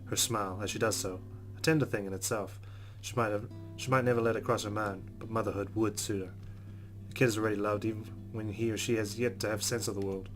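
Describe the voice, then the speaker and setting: A man with a fairly low voice narrates a visual novel as a let's play host. He reads inner monologue expressively and dramatically, over faint background music. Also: steady tone, fast. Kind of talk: expressive read-aloud game narration with reactions.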